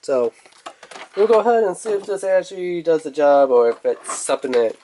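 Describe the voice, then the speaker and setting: A man's voice: on the high side, a little fast, dark tone, slight background noise. A man giving casual, off-the-cuff commentary as he reviews a toy in an unboxing video. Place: indoors at a table.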